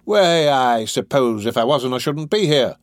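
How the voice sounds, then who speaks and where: Storybook character, male narrator performing: cocky young male lout, pitched up, grasping and self-important. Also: animated, a little slow, dry recording, studio quality, close to an everyday voice. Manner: self-important, colloquial, questioning.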